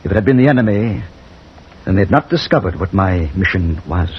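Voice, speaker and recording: An actor playing a brooding army colonel, measured and grave, in a vintage wartime radio drama. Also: male voice, sits low, expressive. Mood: brooding, tense. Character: brooding British army colonel narrating his wartime story. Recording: muffled old radio recording, loud noise.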